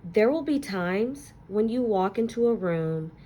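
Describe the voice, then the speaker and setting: A woman teacher reading a children's picture book aloud with gentle, expressive storytelling and soft asides to young listeners. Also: mid-range voice, a little slow, a little hiss or hum.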